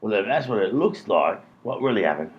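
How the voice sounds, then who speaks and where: Comic radio play: long-winded crook male actor, lowish animated voice, mock-logical, muffled sound. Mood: dry, puzzled. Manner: deliberate mock-reasoning. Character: pedantic, long-winded, clueless male robber. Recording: muffled lo-fi sound, music behind.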